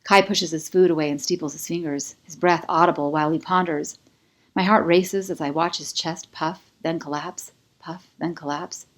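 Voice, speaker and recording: Female audiobook narrator, slightly low register, expressive first-person romance read. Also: normal speed. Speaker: author reading own audiobook.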